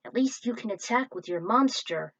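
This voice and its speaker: A woman's voice: fairly high pitch, expressive, moderate pace, a bit bright, low-quality recording. A woman voicing a scrappy young duelist. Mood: reassuring.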